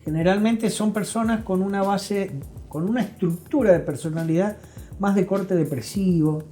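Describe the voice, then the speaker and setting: A man's voice: sits high, normal speed, dark tone. An Argentine man explaining didactically as an interview guest, often checking in with short tag questions. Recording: faint music behind the voice. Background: music.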